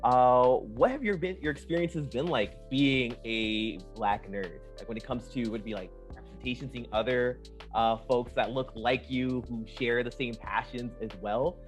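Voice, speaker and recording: A man's voice: mid-range voice, normal speed, faint music behind. A man hosting a casual interview, politely putting questions and rephrasing them as he goes. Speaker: interviewer, host.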